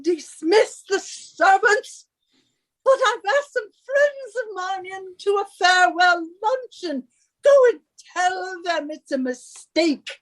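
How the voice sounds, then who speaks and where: Woman acting a shrill, high-pitched bossy wife. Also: animated, takes it slowly, a bit bright, full character voice, poor audio. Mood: exasperated, domineering. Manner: imperious, scolding, exacting. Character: bossy, scornful, henpecking British middle-aged wife. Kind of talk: radio suspense drama acting.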